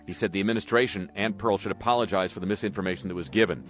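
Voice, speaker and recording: A man's voice: deep voice, racing, soft background music. A man hosting a talk show, reading news items with wry, sarcastic asides. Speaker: host. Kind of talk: radio talk commentary.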